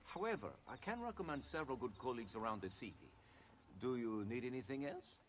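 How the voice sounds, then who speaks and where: Man acting a lively, swooping Renaissance street doctor. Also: medium pitch, brisk, a little hiss or hum, natural voice. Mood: brisk, salesmanlike. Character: brisk, chatty Renaissance street doctor peddling remedies. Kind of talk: video game cutscene acting.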